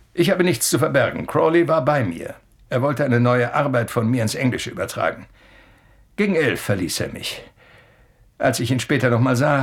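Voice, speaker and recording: An actor playing an elderly, eccentric male professor in a radio play, courteous and evasive, with a deliberate, slightly hesitant way of talking. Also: mid-range voice, vocal fry, breathy edge. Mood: evasive, musing. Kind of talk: German radio play acting.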